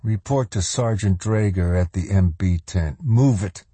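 Hostile army captain, read by a man: cold, curt, threatening, low register, husky edge, audiobook. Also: unhurried, mellow, no room sound. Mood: curt menace.

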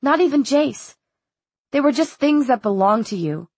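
A woman's voice: high-pitched, expressive, normal speed, darkish tone, husky, dry recording, voice pushed up, exaggerated voice. A woman voicing a distraught, defiant teenage heroine in an audiobook, speaking with accusing emphasis.

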